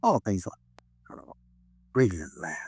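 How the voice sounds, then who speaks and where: A man's voice: low-pitched, sing-song, normal speed, a little mellow, slightly rough, dry recording, heavily acted. An actor playing an eccentric, rambling crank who earnestly preaches doom in a 1950s radio drama, hesitating as he goes. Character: eccentric male crank author, rambling doomsday prophet.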